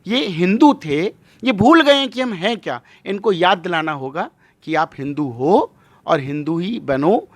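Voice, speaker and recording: High-pitched, emphatic male religious leader. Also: expressive, unhurried, no echo. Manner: emphatic, rhetorical questions and repetition. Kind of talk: impassioned interview monologue.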